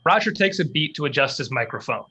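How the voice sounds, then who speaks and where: Bright, husky man reading stage directions. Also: on the high side, animated, fast. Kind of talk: scripted narration of a screenplay.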